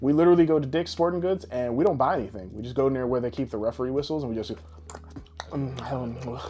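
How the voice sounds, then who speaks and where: A man's voice: mid-range voice, quick pace. A man co-hosting a podcast with casual, jokey, rambling showman patter. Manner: boisterous, rambling, tongue-in-cheek showman patter. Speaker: podcast co-host.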